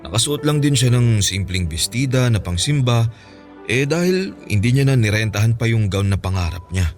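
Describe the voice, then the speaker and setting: An actor playing an understanding Filipino father in a radio drama, reminiscing sorrowfully and explaining gently in a Filipino accent. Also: medium pitch, animated, moderate pace, faint music behind, close to an everyday voice. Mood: wistful, gentle.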